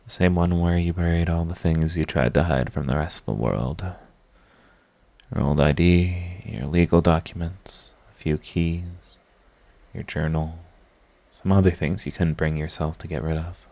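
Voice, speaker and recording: A man plays a sardonic supervillain with a low-pitched voice in an intimate audio drama. He is theatrical and sarcastic, yet his halting delivery betrays a secret devotion to his rival. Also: vocal fry, darkish tone. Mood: tender, grieving. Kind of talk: intimate scripted audio roleplay monologue.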